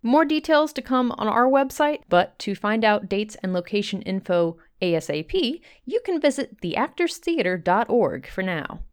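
A woman's voice: mid-range voice, very animated, normal speed, hardly any pauses, smooth. A woman hosting a radio drama show, reading a scripted introduction in a polished presenter manner. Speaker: host. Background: music.

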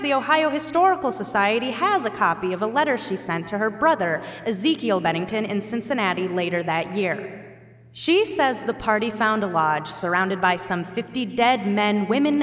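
A woman's voice: medium pitch, a bit bright, lightly acted. An actress playing an outspoken, sarcastic young female researcher in an audio drama, speaking bluntly and articulately. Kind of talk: naturalistic audio drama acting. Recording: long hall reverb.

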